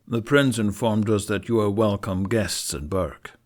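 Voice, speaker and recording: A stern and imposing knight with a low voice, acted by a male narrator. He speaks formally, as in a fantasy storybook. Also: measured pace, close to an everyday voice. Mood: stern, warning.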